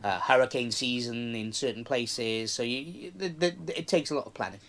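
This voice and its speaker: A man's voice: medium pitch, moderate pace, fairly bright tone. Rambling man in his forties. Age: 40s. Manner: rambling, many fillers and restarts. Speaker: interviewed yacht owner. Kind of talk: casual interview. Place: outdoors on a moored yacht.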